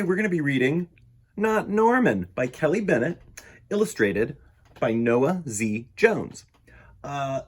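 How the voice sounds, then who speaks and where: A man hosting story time, reading a children's picture book aloud in an expressive, emphatic way and talking directly to young listeners. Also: fairly high pitch, husky.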